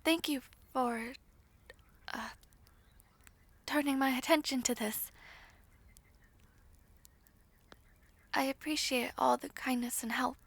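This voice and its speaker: A woman's voice: high voice, no echo. A woman playing a shy, humble young maid of noble birth in a fantasy audio roleplay, hesitant, polite and old-fashioned in speech. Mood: thoughtful, flustered.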